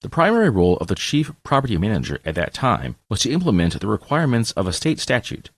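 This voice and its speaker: A man reading scripted nonfiction narration in a measured, formal, deliberate way. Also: lowish voice, wildly swooping pitch, no echo. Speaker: audiobook-style podcast reader.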